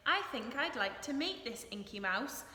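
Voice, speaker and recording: Slightly weary, friendly storybook snake, male, read by a woman, measured delivery, bright tone, roomy reverberant sound. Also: a little high, expressive. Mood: curious. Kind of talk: children's storybook read-aloud. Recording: echoey room with noise.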